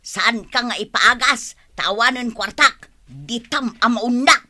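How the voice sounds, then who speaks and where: A woman's voice: fairly high pitch, expressive, measured pace, sharp tone, full character voice. A woman with an Ilocano accent playing an exasperated, scolding village wife in a comedy radio drama, nagging and emphatic. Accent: Ilocano, northern Philippines.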